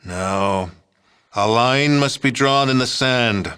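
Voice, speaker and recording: Self-righteous, fanatical American president. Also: male voice, mid-range voice, slow pace, bright, forceful tone, clear, resonant tone. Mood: righteous, unyielding. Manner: folksy politician's oratory, righteous and patronizing. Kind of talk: video game villain dialogue.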